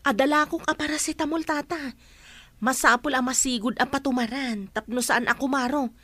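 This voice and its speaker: Woman acting as kind helpful ilocano filipino neighbour friend, radio drama, really high voice. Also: steady tone, normal speed, pushed and bright, dry recording. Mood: concerned.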